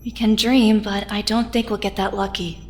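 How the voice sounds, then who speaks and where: A woman's voice: mid-range voice, flat delivery, normal speed, in a large hall, soft background music, close to an everyday voice. A woman playing a caring young hero with a dry streak in an audio drama. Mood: dry, resigned.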